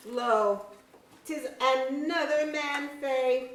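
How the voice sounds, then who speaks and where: A woman playing a scornful woodland fairy in a staged verse drama, sneering in deliberate archaic phrases. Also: high-pitched, a little hiss or hum. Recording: echoey auditorium.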